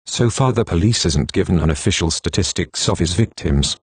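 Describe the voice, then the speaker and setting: A man playing a news correspondent and official announcer, reading scripted reports and warnings in a formal, measured manner. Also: deep voice, lively intonation, a little mellow, slightly rough, no room sound. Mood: formal, urgent.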